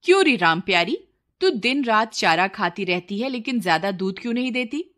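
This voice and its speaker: A woman's voice: mid-range voice, expressive, moderate pace, fairly bright tone, close to an everyday voice. A woman voicing a greedy, complaining village wife in a children's cartoon story, with an insistent, taunting manner. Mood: bossy. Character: nagging, greedy village wife, dissatisfied and scheming.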